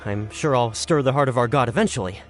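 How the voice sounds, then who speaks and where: A man's voice: mid-range voice, fast, natural voice. A man playing a scatterbrained, self-deprecating writer in a video game, with a hesitant, exclamatory delivery. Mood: discouraged. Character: scatterbrained, dramatic writer out of ideas. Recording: music behind the voice.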